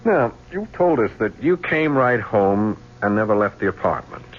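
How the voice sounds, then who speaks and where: An actor playing a patient police lieutenant who questions a suspect calmly and pointedly. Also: male voice, fairly low pitch, normal speed, breathy edge. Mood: probing. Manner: calm, probing. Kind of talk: 1970s radio drama acting. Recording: dull old radio recording with faint hiss.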